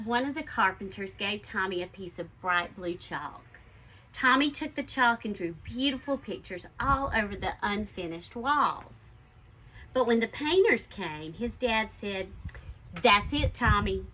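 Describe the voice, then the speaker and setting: A woman reading a children's picture book aloud like a schoolteacher, expressively and with deliberate, emphatic stresses. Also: medium pitch, bright, forceful tone, slight background noise, low-quality recording.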